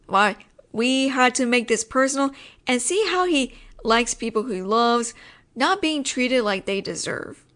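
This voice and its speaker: A woman's voice: fairly high pitch, lively intonation, unhurried, fairly bright tone. A woman playing an exasperated but apologetic mafia boss in a roleplay audio drama, with a wry, sighing, slangy way of talking. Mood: wry, explaining.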